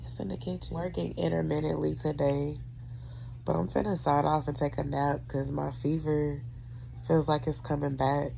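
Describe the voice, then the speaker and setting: A woman's voice: little pitch movement, moderate pace, vocal fry, a little hiss or hum, poor audio. A young woman who sounds nasal and congested from being sick, talking casually and wearily to her camera. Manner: tired, low-key, trailing off quietly. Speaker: vlogger. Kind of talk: casual vlog talk to camera. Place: at home, then at a pharmacy drive-through.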